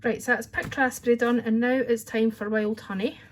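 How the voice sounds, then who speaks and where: A woman's voice: fairly high pitch, steady tone, normal speed, slight background noise. A Scottish woman chatting casually through a card-making tutorial at her craft desk, with a friendly, rambling manner. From Scotland. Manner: chatty, friendly, says "wee" often.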